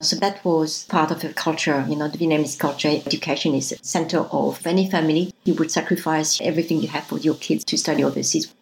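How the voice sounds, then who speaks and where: A woman's voice: deep voice, fairly even intonation, fast talker, gravelly, hardly any pauses, slight background noise, low-quality recording. A woman in her fifties, raised in France, telling her family story in an earnest, reflective way as an interview guest. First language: French. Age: 50s. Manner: starts many sentences with "so", earnest and reflective.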